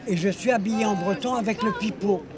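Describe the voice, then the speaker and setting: A man in his eighties from Algeria, telling an old story to friends in a lively, chatty way, often laughing. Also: high voice, brisk. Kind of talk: casual anecdote. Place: outdoor gathering. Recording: outdoor home video with busy crowd. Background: crowd chatter.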